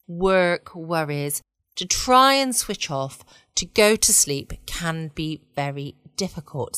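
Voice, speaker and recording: Low-voiced English woman, close and dry. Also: a bit bright, dry recording. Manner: cosy, chatty, playful asides. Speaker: podcast host. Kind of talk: intimate late-night bedtime podcast chat. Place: at home, close and dry-sounding room.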